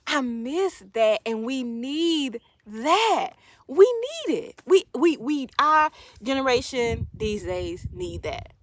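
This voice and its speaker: Higher-pitched woman, emphatic casual monologue. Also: expressive, slow pace, no echo. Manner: emphatic, repeats phrases for emphasis. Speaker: livestream host. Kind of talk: casual opinion monologue to viewers.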